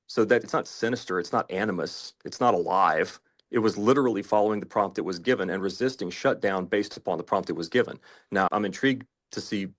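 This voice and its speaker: Male, low pitch, rapid delivery, emphatic, legalistic, argumentative juror. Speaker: juror in a mock trial debate. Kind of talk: argumentative discussion.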